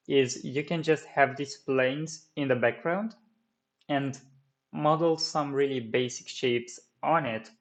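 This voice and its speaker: A man whose first language is Romanian, walking viewers through a 3D modelling tutorial at his desk, with many fillers and restarts. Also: mid-range voice. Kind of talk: screen-recorded software tutorial.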